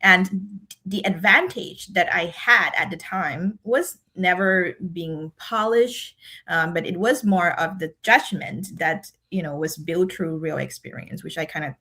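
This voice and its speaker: A woman's voice: lowish voice, measured pace, sharp tone. A woman with a Thai accent, a guest on an interview podcast, speaking in an earnest, reflective way and laughing often. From Thailand. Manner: earnest and reflective, laughs often, repeats words while thinking. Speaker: guest, decision scientist and speaker.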